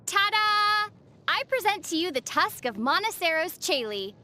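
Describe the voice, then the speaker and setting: A woman playing a young female traveler in a video game, gently teasing and reassuring, with a playful, dry manner. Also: extremely high pitch, sing-song, moderate pace, very noisy. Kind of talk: video game character dialogue.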